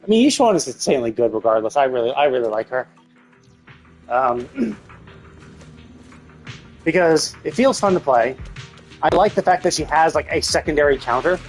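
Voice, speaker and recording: A man streaming a game, chatting casually and rambling as he thinks aloud. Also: mid-range voice. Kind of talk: casual live gameplay commentary. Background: game music in background.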